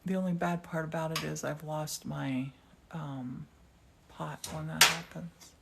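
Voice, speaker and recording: A woman's voice: low voice, steady tone, measured pace, creaky, very noisy. A woman hosting a live demonstration in a glass studio, chatting casually and with enthusiasm. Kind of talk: casual live-stream chat and commentary.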